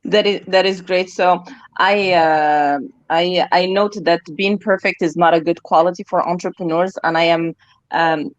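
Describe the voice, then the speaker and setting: A woman hosting an online interview, polite and measured, with some fillers, over a video call. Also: lowish voice, no echo. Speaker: host. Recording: dry, dull lo-fi audio.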